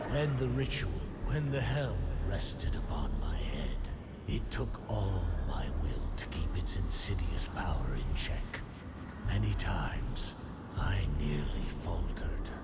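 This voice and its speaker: A slow, gravelly, grave leader. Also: male voice, deep voice, heavily acted. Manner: solemn, portentous, deliberate. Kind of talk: dramatic game cutscene dialogue. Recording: loud music behind the voice.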